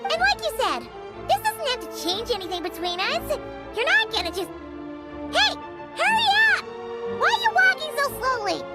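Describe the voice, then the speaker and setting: A woman voicing a tiny, excitable, greedy fairy companion in an animated game, exclaiming and talking about herself by name. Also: extremely high pitch, normal speed, pauses often, loud music behind the voice, exaggerated voice. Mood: casual, musing, then playful, bragging. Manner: refers to self in third person, exclamatory. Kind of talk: animated game cutscene acting.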